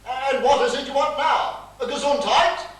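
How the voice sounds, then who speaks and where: A man's voice: extremely high pitch, moderate pace, fairly bright tone. A man playing a pompous, impatient general in a farcical stage comedy, speaking in curt, dismissive exclamations. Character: pompous, impatient, dismissive married male general, senior official. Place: small theatre stage, far from mic. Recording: distant, very echoey, hissy theatre recording.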